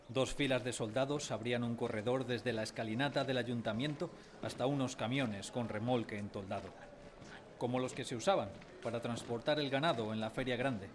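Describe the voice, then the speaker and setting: An actor playing a grave narrator who recalls a village childhood in solemn, measured, literary radio-drama narration. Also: male voice, mid-range voice, noisy background, natural voice. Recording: faint music behind. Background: faint music.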